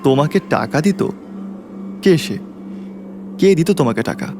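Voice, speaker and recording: A man's voice: a little high, expressive, measured pace, music in the background. A man playing a serious, probing detective in an audio drama, with a firm, questioning manner.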